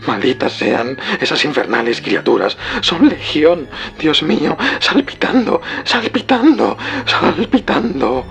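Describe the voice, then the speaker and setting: A man's voice: on the high side, very animated, takes it slowly, fairly bright tone, faint music behind, voice pushed up, heavily acted. A man voicing a doomed, desperate man in a horror audiobook, trembling with fear and urgency. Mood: screaming terror. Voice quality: trembling, breathy whisper rising to cries. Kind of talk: dramatic horror audiobook acting.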